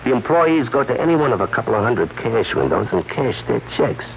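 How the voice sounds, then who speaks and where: Sly gravelly gangster schemer, swoops around a lot, old muffled 1940s radio crime show. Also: male voice, medium pitch, big pitch swings, a little fast, slight background noise. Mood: scheming. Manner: sly chuckling, coy hesitations. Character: sly, odd holdup man and forger, smug schemer.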